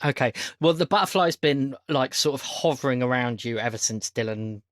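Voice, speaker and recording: A man acts as game master in a casual tabletop session. His voice is medium in pitch, easygoing and a bit hesitant, recorded close and dry. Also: brisk, fairly bright tone, no room sound.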